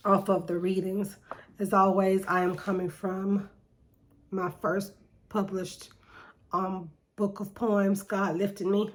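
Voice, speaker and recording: Slow-spoken woman, slightly nasal. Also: fairly low pitch. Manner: slow and deliberate, with pauses and fillers. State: slightly nasal from seasonal allergies. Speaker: poet hosting her own segment. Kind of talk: reflective spoken monologue with poetry reading.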